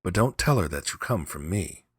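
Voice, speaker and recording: A man acts out a proud, scheming husband from a storybook. His voice is low and forceful, quick to temper and full of demands, in a dry, close sound. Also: lively intonation, breathy edge, no echo, full character voice. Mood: urgent.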